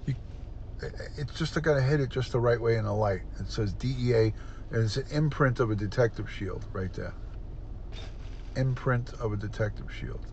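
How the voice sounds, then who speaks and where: A man's voice: medium pitch, fairly bright tone, slight background noise. A middle-aged man, a retired detective, hosting a casual livestream, chatty and stuffed up, sniffling as he greets viewers by name. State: congested, stuffed-up nose, sniffling.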